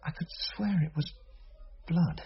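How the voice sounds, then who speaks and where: A man's voice: fairly high pitch, sing-song, unhurried, exaggerated voice. An actor playing a nervous, defensive young man under questioning in a radio drama, hesitant and stammering. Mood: uneasy. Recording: slightly muffled, clear noise behind.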